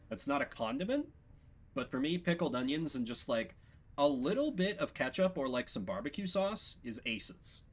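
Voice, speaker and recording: A man's voice: on the high side, fairly bright tone. A man from the Midwestern United States streaming a game, chatting casually in an opinionated, rambling way. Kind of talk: casual chat and game narration.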